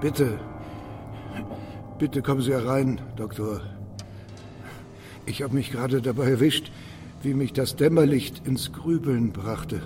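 A man acting a suspicious, secretive loner afraid of arrest in a radio drama, uneasy, halting and over-insistent. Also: mid-range voice, music in the background, lightly acted. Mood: uneasy politeness. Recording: distant from the microphone.

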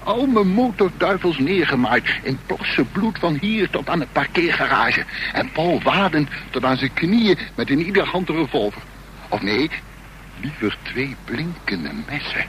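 An actor playing a reckless, taunting young gang leader with a death wish in a Dutch radio drama, in a mocking, excitable manner. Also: male voice, on the high side, measured pace. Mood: gleeful, excited. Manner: mocking, excitable, breaking into laughter. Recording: noisy radio drama recording.

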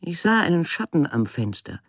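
Radio drama: haunted female artist, dramatic, lively intonation, close dry sound. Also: deep voice, normal speed, no room sound. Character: imaginative, haunted woman artist narrating her fears and dreams. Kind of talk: literary radio drama monologue.

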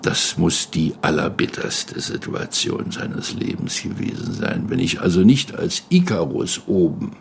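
A man's voice: low-pitched, gravelly, a little hiss or hum. An older man reminiscing spontaneously as an interviewee, with emphatic, dramatic storytelling and repeated phrases. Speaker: interviewee recalling a colleague.

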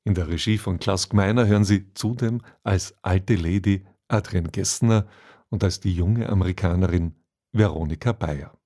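A man's voice: low-pitched, normal speed, warm tone. A man presenting a radio play with a scripted introduction, as a broadcast announcer.

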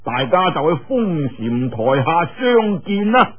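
Guy doing scheming cantonese kung fu boss, fake polite, swoopy husky voice, radio-ish sound. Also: on the high side, big pitch swings, takes it slowly, voice pushed up, heavily acted. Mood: feigned agreement. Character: scheming, commanding male martial arts sect leader. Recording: muffled narrow-band radio-like sound.

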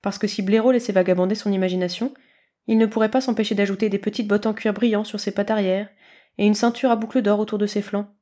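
A woman reading a scripted story aloud as an expressive narrator. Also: medium pitch, fast talker. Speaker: podfic reader.

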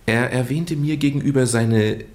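A man acting in a German radio drama as a British gentleman nephew, earnestly and haltingly confessing a well-meant deception in genteel, roundabout phrases. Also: medium pitch, close to an everyday voice. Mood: earnest, reminiscing. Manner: hesitant, roundabout, genteel storytelling with stammered repeats.

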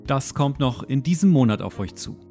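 A man's voice: medium pitch, animated, moderate pace, warm tone, soft background music. A man delivering a short scripted promo announcement as a jingle announcer.

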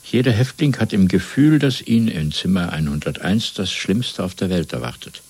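A totalitarian interrogator in a seventies radio play. The voice is deep and husky, and every phrase is deliberate and clinical, full of controlled menace. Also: male voice, animated, darkish tone, no room sound, heavily acted. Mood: coldly menacing. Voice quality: husky, rough low voice. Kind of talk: 1970s German radio play acting.

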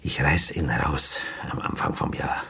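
Trauernder Vater, sehr tiefe, knarrende Stimme. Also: male voice, very deep voice, gravelly, husky, exaggerated voice. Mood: grieving, halting. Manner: halting, hushed, often breaking into whispers. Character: grieving, apologetic father of a dead teenage daughter. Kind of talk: naturalistic radio drama acting.

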